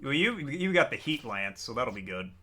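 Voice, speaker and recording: Bright-voiced chatty male streamer. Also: mid-range voice, sing-song, moderate pace, faint music behind. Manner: chatty, talks to chat, slightly raised customer-service register. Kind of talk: casual live-stream chat while gaming.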